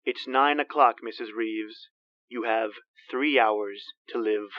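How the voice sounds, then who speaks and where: Sinister caller, extremely low, slow delivery, telephone-filtered threats in a radio chiller. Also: female voice. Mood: cold menace. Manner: slow, ominous, deliberate. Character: menacing, ghostly threatening caller. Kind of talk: old-time radio horror acting. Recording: muffled telephone-line sound.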